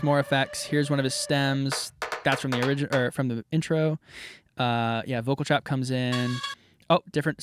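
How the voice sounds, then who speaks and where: A man, a music producer, talking loosely and profanely while walking through a project in the studio. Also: medium pitch. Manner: loose, profane, quick asides. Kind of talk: casual studio chat.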